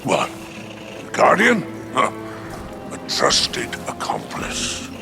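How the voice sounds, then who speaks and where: An actor playing a sly, scheming alien crime boss in a video game, with a growling voice and a slow, insinuating, theatrical delivery. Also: male voice. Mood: taunting, coaxing. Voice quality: gravelly, growling. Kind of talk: video game character acting. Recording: music behind the voice.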